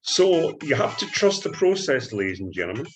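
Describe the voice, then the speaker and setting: A Scottish man giving a trading tutorial, talking up his strategy in an excited salesman patter and often calling out "ladies and gentlemen.". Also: mid-range voice, normal speed, faint music behind, low-quality recording. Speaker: forex trading instructor. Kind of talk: screen-share trading tutorial.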